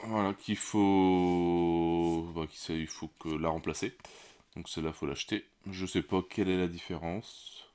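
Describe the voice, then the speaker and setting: A man with a cold, hosting a board game unboxing in a relaxed, hesitant way with many fillers. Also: sits low, a little slow, clear, resonant tone. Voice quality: slightly off, congested voice. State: has a cold. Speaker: board game channel host. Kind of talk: casual unboxing commentary.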